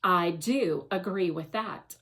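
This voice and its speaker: A woman's voice: lowish voice, slow, a bit bright. A woman voicing a seasoned, skeptical guardian machine in a children's storybook, with a blunt, authoritative manner. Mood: stern, knowing. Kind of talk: children's storybook read-aloud.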